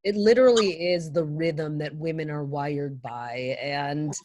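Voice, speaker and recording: A woman's voice: low voice, unhurried, darkish tone, highs cut off, slight background noise. A woman hosting an interview in a casual, conversational style. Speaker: interview host.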